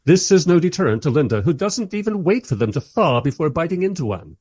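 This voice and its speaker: A man's voice: on the high side, very animated, brisk, a little mellow, breathy edge, dry recording. A man playing a wry, weary filmmaker who narrates a radio play in a dry, sardonic way. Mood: dry exasperation.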